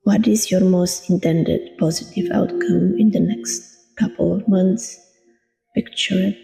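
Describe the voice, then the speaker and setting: A woman guiding a meditation in a soothing, deliberate manner, leaving long pauses between short phrases. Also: fairly low pitch, fairly even intonation, vocal fry, warm tone. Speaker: meditation guide, coach. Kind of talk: guided meditation narration. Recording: long reverb with music behind. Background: meditation music.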